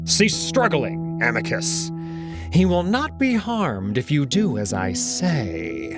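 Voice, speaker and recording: A man's voice: fairly high pitch, very animated, over loud music, pitched above the performer's own voice. Man voicing a stern, imperious wolf commander. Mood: commanding. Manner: stern, slow, contemptuous, imperious. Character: Kato, menacing commanding male alien wolf elder.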